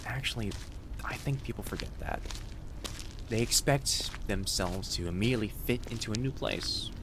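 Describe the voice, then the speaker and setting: A man voicing a friendly, gently teasing young fox-spirit college student in an immersive roleplay audio, speaking casually and reassuringly to the listener. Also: lowish voice, normal speed, background noise, close to an everyday voice. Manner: soft-hearted, reassuring, casual conversational delivery. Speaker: voice actor.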